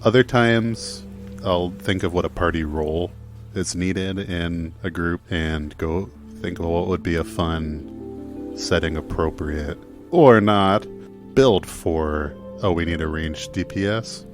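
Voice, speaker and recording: Very deep-voiced man chatting on podcast. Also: takes it slowly. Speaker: podcast panelist. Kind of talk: casual chat. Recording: music playing behind the voice.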